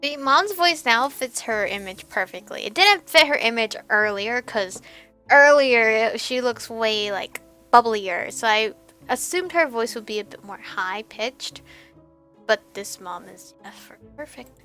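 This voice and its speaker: Bright, high-voiced young woman, chatty gamer. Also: measured pace, soft background music. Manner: expressive, reactive, chatty. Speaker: gaming streamer. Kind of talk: casual let's play commentary with read-aloud game text.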